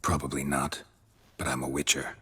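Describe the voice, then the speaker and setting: An actor playing a stoic, world-weary monster hunter in a video game, terse and matter-of-fact. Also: male voice, rumbling low, little pitch movement, normal speed, sharp tone, breathy edge, exaggerated voice. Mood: cautioning. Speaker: game protagonist. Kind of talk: restrained video game voice acting.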